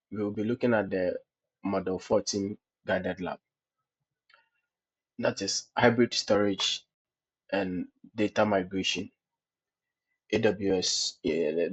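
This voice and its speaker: Husky low-voiced man with a cold. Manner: explains step by step, with frequent fillers and "okay, so". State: has a cold. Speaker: tutorial host. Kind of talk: screen-recorded cloud lab walkthrough. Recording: dull lo-fi screencast audio.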